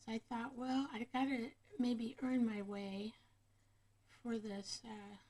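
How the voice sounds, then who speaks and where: A woman's voice: on the high side, level tone, takes it slowly, dark tone. A woman hosting a casual craft livestream, rambling along and chatting directly with her viewers. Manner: rambling, chatty, often addressing viewers by name. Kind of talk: casual live chat with viewers. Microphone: camera microphone.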